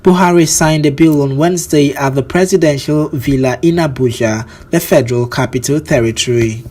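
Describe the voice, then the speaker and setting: A man with a Nigerian accent reads scripted news as a presenter, in a formal, measured delivery. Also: fairly high pitch, a little mellow.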